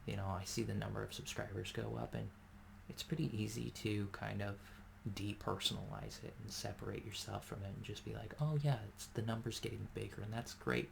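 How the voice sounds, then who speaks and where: Soft-spoken gravelly low man. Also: fairly even intonation, a little fast, noisy background. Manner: soft-spoken, rambling with many fillers. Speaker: ASMR creator. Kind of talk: soft-spoken ASMR ramble.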